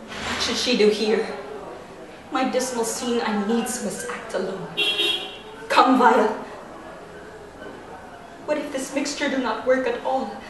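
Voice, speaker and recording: A woman playing a frightened, desperate young noblewoman in a classical stage tragedy, with a dramatic delivery that moves between hushed and frantic. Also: on the high side, pitched above the performer's own voice. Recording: very echoey room, distant mic, faint noise.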